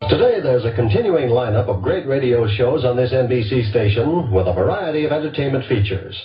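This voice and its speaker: A man's voice: medium pitch, animated, brisk, distant mic, faint music behind. An American man announcing a scripted vintage radio network promo in a polished, formal delivery. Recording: dull, echoey vintage radio recording.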